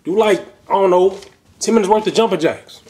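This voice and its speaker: A man's voice: sits high, a little fast, darkish tone. A young man giving casual fitness tips in a video response, rambling loosely with lots of fillers and restarts, recorded on a webcam in a small bedroom. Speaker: fitness vlogger. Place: small bedroom at home, indoors.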